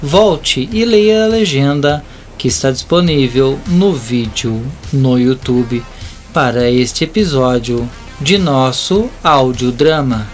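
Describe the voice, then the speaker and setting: A man's voice: medium pitch, lively intonation, slow. A man with a Brazilian accent reading scripted narration for an audio drama. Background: music.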